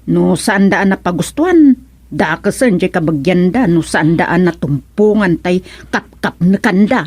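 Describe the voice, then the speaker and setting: A woman's voice: fairly low pitch, expressive, unhurried, darkish tone, dry recording. A woman acting a touchy, complaining married villager in an Ilocano radio comedy drama. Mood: exasperated.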